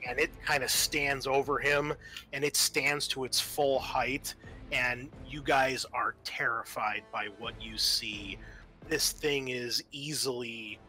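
A man's voice: mid-range voice, sharp tone. A man narrating a tabletop horror game as game master, improvising in an informal, descriptive way with some salty language.

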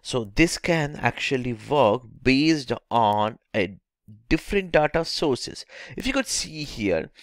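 A man giving a technical slide lecture as an instructor, halting, with frequent filler phrases and repeated words. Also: medium pitch, expressive, no echo, clean studio sound.

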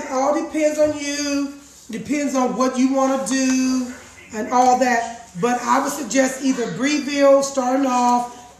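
Woman, middle-aged, raspy, high-pitched, chatty, roomy kitchen sound, phone camera. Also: slight background noise. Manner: chatty, repeats herself, addresses viewers as guys. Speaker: juice vlogger. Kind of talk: casual live chat. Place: home kitchen. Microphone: phone camera across the counter.

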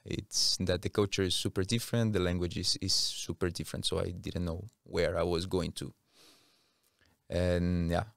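A man's voice: low-pitched, vocal fry, clean tone, no room sound. A man in his thirties with a Brazilian accent, chatting casually as a guest on a podcast, close on a studio mic. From Rio, Brazil. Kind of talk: casual interview talk. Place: small curtained podcast studio. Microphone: close studio mic on boom arm.

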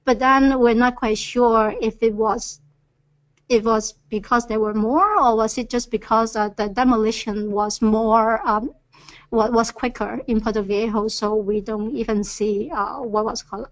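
A woman with a fairly high voice walks through slides in a recorded webinar, pausing often on filler sounds. The audio is dull and lo-fi. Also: steady tone. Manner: hesitant, many filler "uh"s. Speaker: earthquake reconnaissance presenter.

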